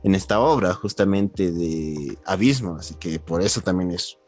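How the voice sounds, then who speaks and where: A man's voice: deep voice, moderate pace, a little mellow. A man hosting a literary podcast in a conversational interviewing style. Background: background music.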